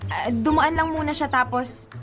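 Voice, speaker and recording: A woman's voice: high-pitched, normal speed, natural voice. A woman playing a stubborn, superstitious young Filipino girlfriend in a radio drama, girlish and pleading. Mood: anxious insistence. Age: young adult. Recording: music bed under the voice.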